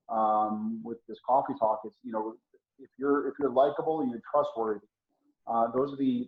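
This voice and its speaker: A middle-aged man hosts a casual chat, talking at length and encouragingly with frequent fillers. His voice rings in a roomy space, and the sound is somewhat dull and muffled. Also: medium pitch. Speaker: host.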